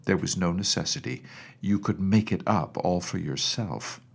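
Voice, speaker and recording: A man's voice: sits low, normal speed, gravelly, breathy edge, exaggerated voice. A man voicing a stern, skeptical woman, a children's nurse, scolding and dismissive in storybook dialogue. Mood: cold, dismissive.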